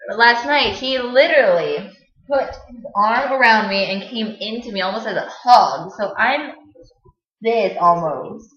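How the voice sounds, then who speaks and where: A young woman chatting in a vlog with a friend, animated and theatrical, in a small room at night on a laptop webcam. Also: fairly high pitch, a little slow. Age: young adult. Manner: animated, acts out lines from a play she rehearses. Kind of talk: casual chat. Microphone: laptop webcam at desk distance. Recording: roomy, dull lo-fi webcam sound.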